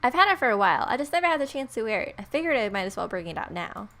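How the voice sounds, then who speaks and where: A woman's voice: fairly high pitch, big pitch swings, very fast, fairly bright tone. A woman reading a visual novel aloud as scripted narration, with casual asides. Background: faint music.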